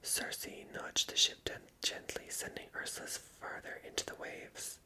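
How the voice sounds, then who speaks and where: Whispered ASMR storybook narrator, crisp and breathy. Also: unhurried, low-quality recording. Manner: hushed, unvoiced whispering. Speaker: ASMR reader and channel host.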